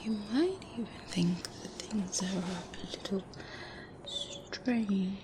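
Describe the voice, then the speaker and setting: A woman playing a softly sinister, polite specialist doctor in an ASMR roleplay, hesitant and insinuating, in a breathy whisper with murmured hums. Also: mid-range voice, slow, noisy background, natural voice. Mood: hushed, knowing.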